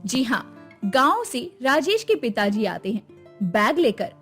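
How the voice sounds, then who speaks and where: A woman's voice: fairly high pitch, big pitch swings, takes it slowly, a bit bright, faint music behind. A woman storyteller reading dramatic scripted narration of a family tale.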